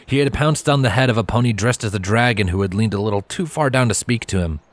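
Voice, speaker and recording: A man's voice: on the low side, quick pace, dry recording. A man reading scripted story narration as the narrator.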